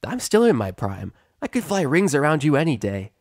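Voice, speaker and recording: A man's voice: a little high, wildly swooping pitch, brisk, dry recording, clean studio sound, pitched above the performer's own voice, exaggerated voice. A man voicing a cocky, easygoing middle-aged female pegasus pony who brags casually, in a storybook reading. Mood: cocky, teasing. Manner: breezy, bragging, casual.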